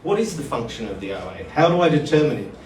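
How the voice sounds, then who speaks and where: A man giving a live conference talk, informal and halting, calling people mate. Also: mid-range voice, very animated, darkish tone. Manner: informal, addresses people as mate, restarts phrases. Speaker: conference speaker. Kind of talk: live presentation to an audience. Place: conference hall. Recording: echoey hall, picked up from far off.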